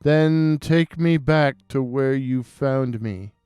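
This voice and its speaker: A man voicing a sinister, commanding dead man risen from his tomb in a folktale, with drawn-out delivery. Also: medium pitch, darkish tone, clear, resonant tone, no echo, soft background music, natural voice. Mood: grim command. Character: commanding male walking corpse, blood-drinking and sinister.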